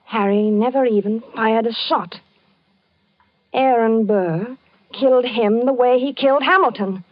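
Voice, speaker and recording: A woman's voice: a little high, a little slow, no echo, close to an everyday voice. An actress playing a frightened wife who narrates her husband's death with earnest, anxious storytelling in a 1940s radio drama. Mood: frantic. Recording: dull vintage radio recording with music.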